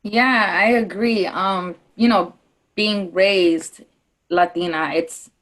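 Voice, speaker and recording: A woman's voice: mid-range voice, fairly even intonation, slow pace, fairly bright tone. A woman from Connecticut answering on a panel in a casual, conversational way. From Connecticut, USA. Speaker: panel guest.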